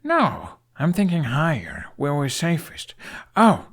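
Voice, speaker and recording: A male narrator puts on an eager teenage Viking boy, pitched well up and full of nervy energy. He thinks aloud in animated, halting bursts, stubborn and scheming, in storybook style. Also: breathy edge, exaggerated voice. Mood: excited.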